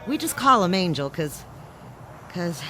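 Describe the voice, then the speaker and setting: A woman's voice: lowish voice, sing-song, unhurried, a bit bright. An actress playing a tough, blunt, slangy woman who runs a mining outpost in a science fiction radio drama. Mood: nervous, evasive. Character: brash, hard-bitten woman boss of a mining outpost.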